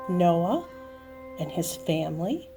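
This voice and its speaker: A woman telling a story to young children in an expressive, dramatic manner, with emphatic repeated phrases. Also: fairly low pitch, slow. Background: music and sound effects.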